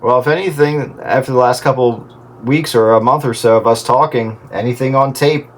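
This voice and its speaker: A middle-aged man in casual radio chat, explaining things in a halting way. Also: medium pitch. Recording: clear steady background noise.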